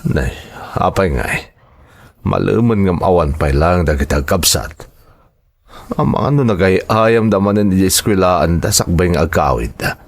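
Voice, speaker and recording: A man's voice: deep voice, animated, normal speed, vocal fry, exaggerated voice. A man playing a bad-tempered, bossy uncle who scolds his household in an audio drama, with a Filipino accent. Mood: irritated scolding. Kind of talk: Ilocano audio drama acting.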